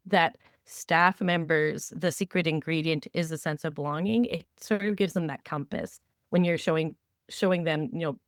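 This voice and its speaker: Halting, low-voiced woman on a podcast. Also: no echo, studio quality. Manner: halting, repeats words as she works out thoughts. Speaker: podcast co-host. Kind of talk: conversational podcast discussion.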